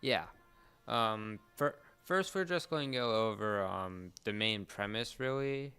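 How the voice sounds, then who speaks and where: A young man hosts a casual podcast in a notably clean, resonant voice pitched a little low. He speaks haltingly, doubling back on words as he explains things. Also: animated, sharp tone, clear, resonant tone, faint music behind. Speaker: podcast host. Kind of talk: casual podcast talk introducing a series.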